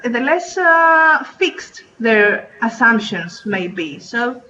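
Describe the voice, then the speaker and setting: A woman's voice: fairly high pitch, very animated, slow, sharp tone. A woman teacher speaking in a panel discussion, hesitant with many fillers and restarts. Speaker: English teacher and researcher. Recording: muffled online audio.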